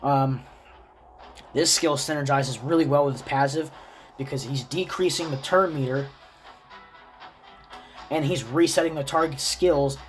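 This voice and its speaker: A man with a slightly raspy, stuffy voice from a cold, casually explaining a game character's build step by step. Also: mid-range voice, unhurried, pauses often, faint music behind. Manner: explains step by step, relaxed and chatty. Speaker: gaming video creator. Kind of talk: casual game guide commentary.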